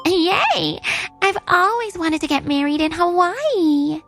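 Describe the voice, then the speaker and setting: A woman's voice: sits high, very animated, unhurried, vocal fry, a bit bright, soft background music, heavily acted. A woman voicing a smug, spiteful young mistress in a dubbed manga drama. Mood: gloating, giddy.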